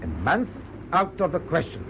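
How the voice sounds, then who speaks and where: An actor in a radio drama playing an imperious, impatient German ship's captain, curt, commanding and scornful. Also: male voice, a little high, wildly swooping pitch, moderate pace. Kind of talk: British radio drama acting. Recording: dull, noisy old radio recording.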